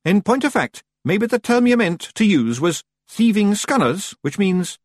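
A man voicing an excitable little male fairy clan leader with a Scottish accent, nervous and boastful, in an audiobook. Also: sits high, a little slow, no echo, studio quality, pitched above the performer's own voice, full character voice. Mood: eager, explaining. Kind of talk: audiobook character voice.

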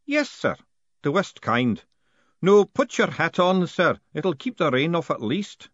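A man's voice: fairly high pitch, very animated, measured pace, dry recording, muffled recording, pitched above the performer's own voice. A man voicing a fatalistic old lodge master who talks in a weary, mournful way. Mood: gloomy, resigned.

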